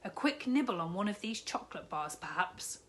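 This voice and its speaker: A woman voicing a big, jolly, kindly male shopkeeper in a storybook reading, warm and fatherly. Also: mid-range voice, lively intonation, normal speed, natural voice.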